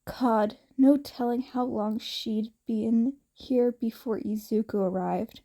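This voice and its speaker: Woman reading a fanfic out loud, slow n expressive, highish mellow voice. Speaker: podfic narrator. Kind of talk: expressive scripted fiction narration.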